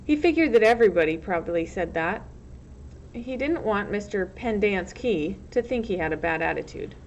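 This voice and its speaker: A woman's voice: mid-range voice, lively intonation, moderate pace. A woman reading a storybook aloud as narrator, in the manner of a teacher. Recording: muffled lo-fi recording, loud background noise.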